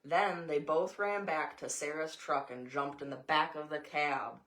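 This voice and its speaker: A woman's voice: low voice, steady tone, unhurried, fairly bright tone. An American woman reading a story aloud, with casual asides along the way. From Montana, USA.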